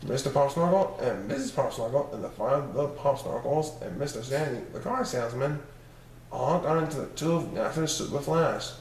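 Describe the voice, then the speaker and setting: A man's voice: medium pitch, a little mellow. Male narrator for a children's read-aloud; mid-range voice, recorded a little far from the mic. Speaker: storybook reader. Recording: roomy, distant mic, faint noise.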